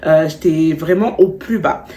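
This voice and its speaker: A woman's voice: deep voice, unhurried, slight background noise. Young woman vlogger with a cold, echoey room. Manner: animated, slangy, repeats words for emphasis. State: stuffy, still has a cold. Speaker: beauty vlogger. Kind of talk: chatty storytime vlog with advice.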